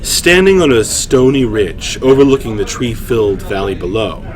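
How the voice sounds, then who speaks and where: A man hosting a story reading, shifting between informal, halting chat and measured storytelling narration. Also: on the low side, big pitch swings. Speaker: writer and host reading his own story aloud. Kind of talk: livestream story reading, narration with casual asides.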